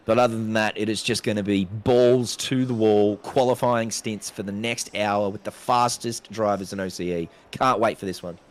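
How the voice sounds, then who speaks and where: A man's voice: on the low side, steady tone, moderate pace, hardly any pauses. A man giving casual, slangy live race commentary, his voice a little off from being sick. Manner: casual, chatty, uses slang. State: slightly sick voice. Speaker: sim racing commentator.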